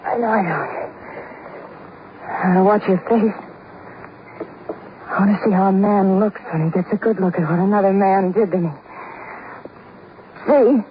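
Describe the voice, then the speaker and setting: An actress playing a bitter, wounded waitress in a radio drama, guarded and terse, with slangy, defiant talk. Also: female voice, medium pitch, unhurried, pauses often, close to an everyday voice. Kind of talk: 1950s radio drama acting. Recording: tinny, noisy 1950s radio recording.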